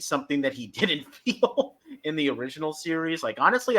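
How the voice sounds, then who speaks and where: Guy with a bright forceful voice doing a casual podcast recap, kinda halting, mid pitch. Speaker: podcast host. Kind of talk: casual episode review commentary.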